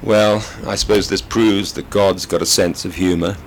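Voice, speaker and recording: A man's voice: low voice, fairly even intonation, moderate pace, a little hiss or hum, natural voice. A man voicing a dry, sardonic older male prison governor who speaks sarcastically.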